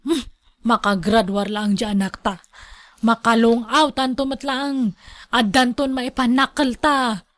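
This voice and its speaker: A woman's voice: a little high, fairly even intonation, measured pace, fairly bright tone, no room sound. A woman playing a strict, envious, middle-aged mother with an Ilocano accent in a radio drama, scolding and grumbling. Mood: resentful.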